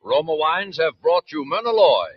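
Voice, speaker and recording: A man giving formal 1940s radio announcements in a declaiming voice that sits fairly high. Loud music plays behind him. The broadcast sound is dull and old. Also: sing-song, moderate pace.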